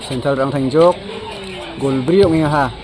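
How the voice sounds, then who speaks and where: A man casually commentating a football match from the sideline outdoors, shouting encouragement and laughing often. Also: mid-range voice, measured pace, rough recording. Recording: crowd noise at an outdoor match. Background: crowd.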